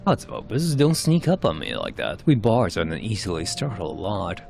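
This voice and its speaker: A man voicing a secretive, watchful bard from a fantasy game, with measured and formal archaic phrasing. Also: fairly low pitch, big pitch swings, vocal fry, darkish tone, a little hiss or hum. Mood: concerned. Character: suave, secretive male bard, grave and watchful. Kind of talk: amateur narrated fantasy game cutscenes. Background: music.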